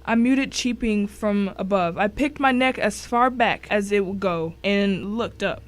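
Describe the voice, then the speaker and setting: A woman's voice: medium pitch, unhurried. Woman narrating a suspense story. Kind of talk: dramatic first-person audiobook narration.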